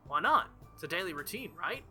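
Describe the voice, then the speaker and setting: A man's voice: high voice, big pitch swings, a little fast, bright, forceful tone, soft background music, exaggerated voice. A man voicing an earnest, flustered teenage visual novel hero in a hesitant, stammering, insistent way.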